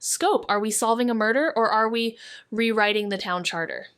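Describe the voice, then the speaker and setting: A woman playing a measured, brooding amateur sleuth in a noir audio drama, with a dry, understated delivery. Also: a little high, fairly bright tone, clean tone, no echo, close to an everyday voice. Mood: troubled, measured.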